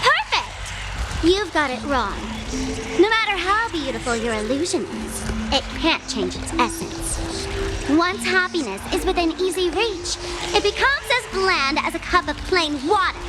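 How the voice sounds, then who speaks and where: A woman's voice: very high-pitched, animated, a little slow. A woman playing a mischievous young masked trickster in a video game, theatrical, mocking and defiant. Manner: theatrical, taunting, playful. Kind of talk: scripted video game voice acting. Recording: loud game music behind voice.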